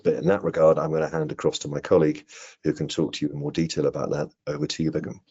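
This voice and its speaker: A middle-aged British man with a husky voice, giving a prepared product presentation over a video call. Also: a bass voice, monotone, racing, darkish tone. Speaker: product marketing director presenting. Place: indoor room on a video call. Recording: dull, lo-fi video call audio.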